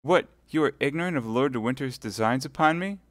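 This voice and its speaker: A man's voice: mid-range voice, lively intonation, a little slow, a bit bright, no echo, clean studio sound, pitched above the performer's own voice. A man reading aloud voices a scheming captive noblewoman who pleads and prays in a tearful, quavering tone. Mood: pleading.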